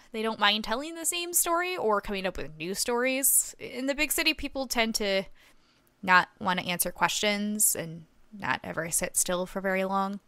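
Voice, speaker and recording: A woman's voice: medium pitch, pushed and bright, smooth, dry recording. A woman playing a friendly, secretive small-town reporter who rambles through her stories in an improvised audio drama. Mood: chatty. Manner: chatty, rambling storyteller. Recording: music bed behind the voice.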